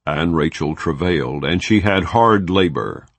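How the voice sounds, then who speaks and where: A man reading scripture aloud in a slow, measured, solemn way, letting his phrases fall at the ends. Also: low voice, animated, no room sound. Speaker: scripture reader.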